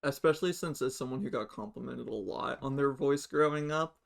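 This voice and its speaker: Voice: mid-range voice, fairly quick, gravelly. A young adult podcast host chatting casually, frank and swearing freely.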